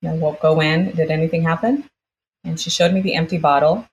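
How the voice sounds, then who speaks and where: A woman with a low voice recounts a personal experience as an interview guest. She talks conversationally and slips into quoted dialogue as she retells it. There is faint background ambience in the recording. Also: steady tone, brisk, slight background noise, poor audio. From United States, born in Brazil.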